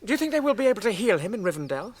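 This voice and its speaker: High, fretful young hobbit. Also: male voice, big pitch swings, very fast. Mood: anxious, despairing. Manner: fretful, earnest. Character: anxious, fretful young male hobbit traveller. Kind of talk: radio drama acting.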